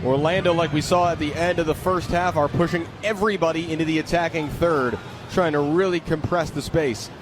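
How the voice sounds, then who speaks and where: High-voiced man calling live soccer. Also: fairly even intonation, brisk, hardly any pauses. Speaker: play-by-play radio commentator. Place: broadcast position at an open-air stadium. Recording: noisy outdoor stadium ambience. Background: loud stadium ambience.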